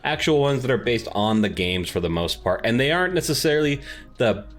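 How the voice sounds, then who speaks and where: A man's voice: medium pitch, sing-song, fairly quick. A man hosting a podcast in an informal, conversational, self-deprecating way, chatting casually. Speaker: podcast host. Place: home office without soundproofing. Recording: echoey untreated home office, music underneath. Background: underlying background music.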